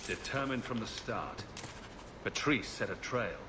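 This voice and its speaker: Defiant game hero, kinda low voice, direct, no patience, noisy behind. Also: male voice, lively intonation, unhurried. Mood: firm, confronting. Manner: direct, challenging. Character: blunt, defiant heroic male adventurer. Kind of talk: video game voice acting.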